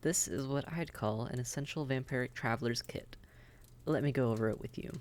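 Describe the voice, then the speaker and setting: A man's voice: mid-range voice, fairly quick, natural voice. A man playing a friendly, worldly vampire innkeeper who chats and gives reassuring advice straight to the listener in a roleplay audio drama. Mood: helpful, explaining. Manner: chatty, reassuring, advising.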